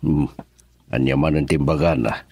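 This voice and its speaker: Gravelly, deep old father. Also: normal speed, a little mellow, dry recording, heavily acted. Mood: suspicious. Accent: Filipino. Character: gruff, inquisitive old father. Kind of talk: Filipino radio drama acting.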